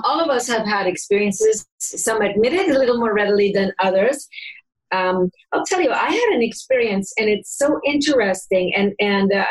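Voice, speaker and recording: An older woman with a high, husky voice hosts a conversational interview. She tells stories emphatically, and her voice climbs when she gets excited. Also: poor audio. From North America. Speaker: interview host. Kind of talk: conversational interview, personal storytelling.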